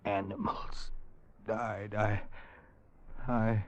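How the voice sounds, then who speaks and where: An actor playing a dying, blinded desert traveler in a radio western, weak, gasping and halting. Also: male voice, on the low side, flat delivery. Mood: weak, desperate. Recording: dull, noisy old radio recording.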